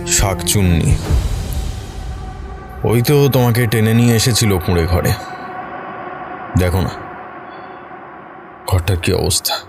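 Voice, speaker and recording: A man's voice: on the low side, slow, creaky, pauses often. A man voicing a protective village husband in a horror audio story, reassuring and grimly explaining. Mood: grave, emphatic. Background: music behind the voice.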